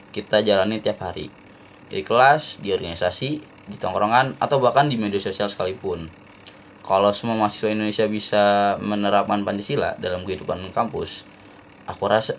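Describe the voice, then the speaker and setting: A young man from Jakarta, a university student giving a relaxed, slangy class-assignment talk with frequent fillers. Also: lowish voice, muffled recording, a little hiss or hum. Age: young adult.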